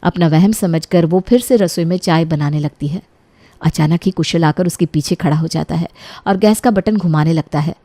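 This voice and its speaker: A woman's voice: low-pitched, moderate pace, warm tone, no echo. A woman reading a scripted dramatic story as its narrator.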